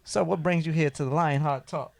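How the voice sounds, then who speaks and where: A man hosting a casual podcast, hoarse from losing his voice and talking in a laid-back, slangy way. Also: on the high side, steady tone, a little fast, no room sound. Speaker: podcast host. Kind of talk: casual chat.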